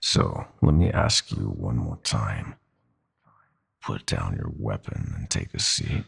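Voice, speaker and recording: A man playing a menacing, gloating god of nightmares in an audio drama, speaking in silky, mocking threats with theatrical slowness. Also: lively intonation, fairly bright tone, heavily acted. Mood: threatening. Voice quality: very deep, gravelly, breathy. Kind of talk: roleplay audio drama acting.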